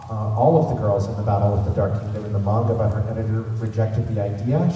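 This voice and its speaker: A man's voice: medium pitch, dark tone. A man hosting a fan convention panel, talking casually to an audience as he runs trivia. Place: large convention centre room. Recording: echoey hall, distant mic, faint noise.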